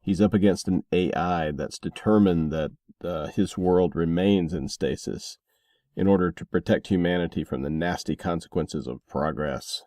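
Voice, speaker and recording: A man's voice: deep voice, normal speed, warm tone, no room sound, clean studio sound. A man hosting a podcast in a casual, informal way, with playful asides as he promotes books and music. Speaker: podcast host.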